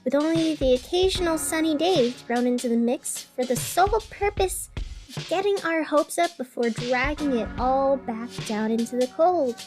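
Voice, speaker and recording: High-voiced woman acting as a weary radio host. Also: normal speed, music in the background, natural voice. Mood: wry, downcast. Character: chatty, weary radio host of a strange gloomy city. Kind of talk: scripted fiction podcast narration as a radio broadcast.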